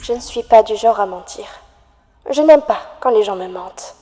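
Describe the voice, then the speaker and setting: A woman's voice: medium pitch, expressive, moderate pace, warm tone, close to an everyday voice. A woman voicing a desperate young woman trapped in darkness, pleading earnestly in a dramatic horror cartoon monologue. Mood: wistful, fearful. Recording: long reverb.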